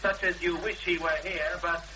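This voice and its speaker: An actor playing an angry, impatient fiancé, clipped and accusing, in a 1970s radio drama. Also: male voice, sits high, little pitch movement, normal speed, natural voice. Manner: clipped, accusing, pressing with blunt questions. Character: forceful, angry young fiancé confronting a victim, impatient and accusing. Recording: faintly noisy, humming old radio broadcast.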